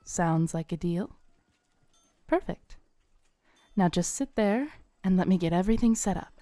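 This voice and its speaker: A young woman with a smooth, low, mellow voice plays a fair fortune teller. She speaks softly, coaxing and gently teasing, close to the mic in a dry room. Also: lively intonation, unhurried, dry recording. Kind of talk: scripted roleplay audio.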